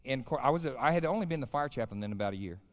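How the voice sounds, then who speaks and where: A man's voice: mid-range voice, animated, racing. A man in his fifties with an American accent, preaching informally in a folksy, colloquial way, telling stories and putting questions to his listeners. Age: 50s. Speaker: pastor, guest chapel speaker. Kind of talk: informal chapel sermon with storytelling. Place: large indoor chapel, speaking to an audience. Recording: live hall with long reverb.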